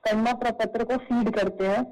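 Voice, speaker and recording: A woman's voice: fairly high pitch, fairly even intonation, normal speed, darkish tone. A woman official explaining procedure step by step, repeating herself, on a played-back phone call recording. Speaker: government election official. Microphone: phone call recording replayed at press conference.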